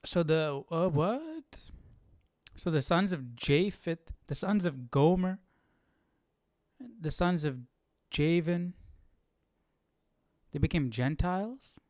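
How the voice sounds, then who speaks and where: A man's voice: fairly high pitch, lively intonation, slow pace, pauses often, darkish tone, dry recording, clean studio sound. A man hosting a livestream, giving casual commentary and musing aloud in an informal way. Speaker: livestream host. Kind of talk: casual Bible study commentary.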